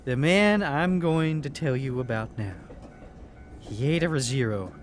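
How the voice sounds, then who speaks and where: Man as a slow, stammering storyteller. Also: medium pitch, faint music behind, natural voice. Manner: halting, stammering recollection.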